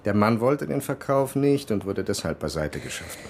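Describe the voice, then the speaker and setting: Dry, low, gravelly police investigator. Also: male voice, sing-song, normal speed, a little mellow, a little hiss or hum. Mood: matter-of-fact. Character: dry, matter-of-fact male police investigator. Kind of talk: radio drama acting.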